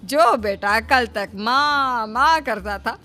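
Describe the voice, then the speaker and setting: A woman's voice: on the high side, slow, pushed and bright. A woman voicing a possessive, ailing middle-aged mother-in-law in a cartoon, talking in a bossy, complaining way. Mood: grumbling. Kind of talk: cartoon voice acting.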